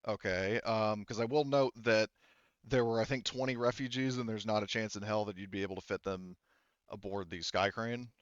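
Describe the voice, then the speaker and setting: Male game master, low and clean voice, explaining rulings casually, slightly muffled dry recording. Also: steady tone, moderate pace, no room sound. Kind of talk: running a tabletop roleplaying game, casual chat.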